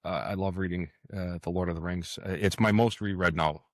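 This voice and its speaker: A man with a low voice speaks as a guest author in a relaxed interview. He feels his way through answers, repeating and qualifying his words, with a dry, close sound. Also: a little fast, dry recording. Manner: hesitant, hedging and repeating words.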